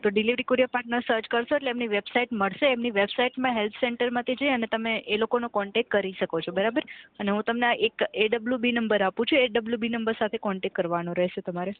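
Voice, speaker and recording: A woman's voice: on the high side, steady tone, quick pace, dry recording. A woman speaking as a customer care agent, formal and courteous, often checking that the caller agrees. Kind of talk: scripted, polite customer service phone talk. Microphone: telephone call. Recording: tinny phone line.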